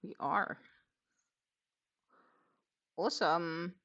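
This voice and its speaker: A woman hosting a casual craft livestream, chatting haltingly and muttering to herself in a self-deprecating way. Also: on the low side, fairly bright tone, highs cut off. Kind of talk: casual livestream chat while knitting.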